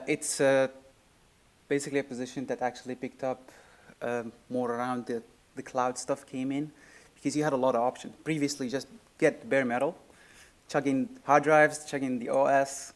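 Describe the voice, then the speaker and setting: Syrian-accented man presenting, medium pitch. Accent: Syrian Arabic. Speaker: workshop co-presenter, software engineer. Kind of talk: informal technical talk to an audience.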